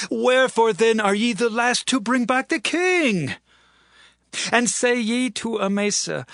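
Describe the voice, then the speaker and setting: A pleading king, slow and high, dry close sound. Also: a bit bright, no room sound, heavily acted. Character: aging king sending a solemn royal message.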